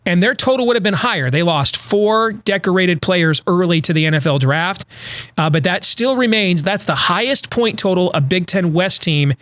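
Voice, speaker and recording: A man's voice: high-pitched, animated, normal speed, fairly bright tone, no room sound, clean studio sound. A man hosting a podcast, giving a conversational college football analysis with frequent restarts and self-corrections. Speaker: podcast host.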